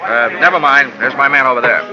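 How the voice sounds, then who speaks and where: A man's voice: medium pitch, fast. A man playing the lead and narrating in an old radio drama, in a dry, wisecracking, hard-boiled manner. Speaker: insurance investigator narrator and lead. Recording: dull lo-fi old radio broadcast with music.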